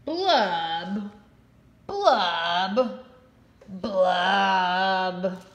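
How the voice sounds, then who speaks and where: A woman's voice: mid-range voice, expressive, pitched below the performer's own voice. A woman reading aloud, voicing a glum male fish who sing-songs his gloomy rhymes and draws out his blubs. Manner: mopey sing-song rhyming with drawn-out blubs. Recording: echoey room.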